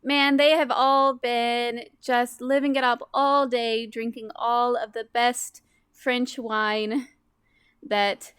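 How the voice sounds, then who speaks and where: High clear lady voice, slow-ish playful tabletop chat, dry sound. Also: little pitch movement, fairly bright tone, no echo. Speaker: tabletop game player.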